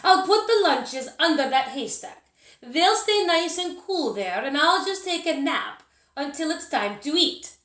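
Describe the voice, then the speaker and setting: A woman's voice: high voice, expressive, a little slow, fairly bright tone, roomy sound, voice pushed up. A woman voicing a cheerful, obedient, slightly dim Italian boy in a storybook, eager and simple. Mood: pleased.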